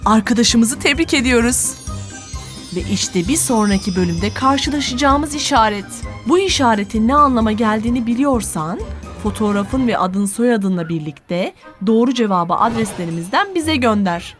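Casting: female kids' cartoon narrator, fairly high voice, encouraging and instructive, music clearly underneath. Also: animated, normal speed. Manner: didactic, encouraging, clearly articulated. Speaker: narrator of a children's educational cartoon. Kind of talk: scripted narration addressed to children.

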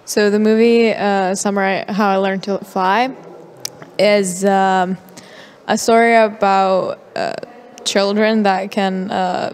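A woman's voice: mid-range voice, steady tone, takes it slowly, slight background noise. A teenage girl, a young actress, answering interview questions and interpreting for her director, hesitant with many fillers. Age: teens. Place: festival press area, large hall. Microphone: handheld mic. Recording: hall reverb.

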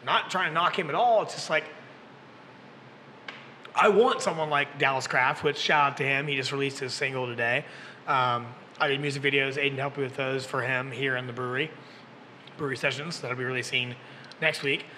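A man's voice: mid-range voice, steady tone, fairly quick, sharp tone, in a large hall, slight background noise. A man in his early thirties, a podcast host who books music at a brewery, chatting loosely and joking with some profanity. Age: 30s. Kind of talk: casual chat. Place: brewery taproom. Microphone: desk mic on boom arm.

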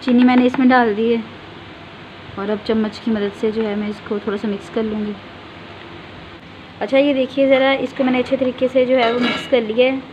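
A woman's voice: a little high, steady tone, fairly quick, long pauses, background noise. Patient woman demonstrating a home cake recipe. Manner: patient, repeats fillers often, directs viewer to look. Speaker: home cooking channel host. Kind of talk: step-by-step cooking demonstration.

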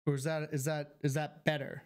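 A man with a fairly high voice chatting his way through a live game stream at home. He reads chat messages aloud and answers them in an easygoing way, heard on a close studio mic. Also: level tone, a little fast, a little mellow. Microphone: studio mic with filters.

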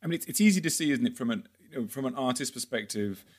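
A man's voice: mid-range voice, expressive, quick pace, a little mellow. A man hosting a podcast discussion, hesitant, with repeated words and fillers. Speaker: podcast host.

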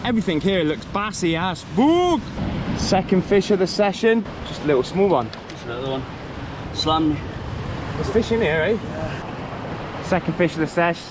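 A man's voice: high voice, animated, normal speed, pauses often. A man talking casually and enthusiastically in slang as a fishing vlogger, recorded outdoors on a rainy seashore with waves behind him. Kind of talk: casual vlog commentary to camera. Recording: loud surf, wind and rain noise. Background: waves and surf.

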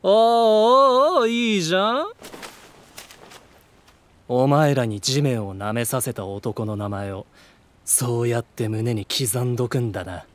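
A man acting a cocky, hot-tempered teenage brawler, with rough, sneering, slangy speech in a voice drama. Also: medium pitch, unhurried, close to an everyday voice. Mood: taunting.

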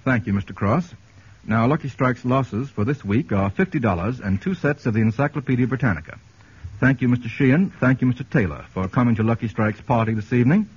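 A man hosts a 1940s radio quiz show in a medium-pitched voice. The sound is very rough and husky, carried on a dull, scratchy vintage broadcast. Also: expressive, fairly quick, darkish tone.

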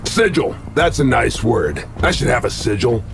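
Gravelly wisecracking action hero. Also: male voice, mid-range voice, very animated, moderate pace, over music. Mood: breezy, flippant. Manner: sardonic, one-liners. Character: cocky wisecracking action hero, tough and flippant. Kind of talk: video game cutscene acting.

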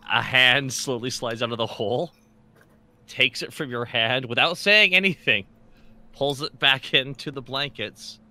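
A man's voice: mid-range voice, unhurried, bright, forceful tone. A man playing a tabletop roleplaying game, casually narrating what his character does. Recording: faint background noise.

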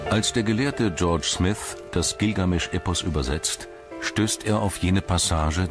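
A man reading scripted documentary narration in a measured, dramatic storytelling manner. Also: low-pitched. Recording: music bed behind the voice. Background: music.

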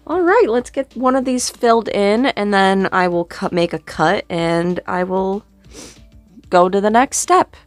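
A woman's voice: mid-range voice, a little slow, no room sound, soft background music. A young woman chatting casually through a makeup routine, her voice a little sore, self-deprecating as she works. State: slightly sore voice. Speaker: makeup vlogger. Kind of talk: casual get-ready-with-me chat. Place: small bedroom with red curtain backdrop. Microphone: small desk mic on boom arm close up.

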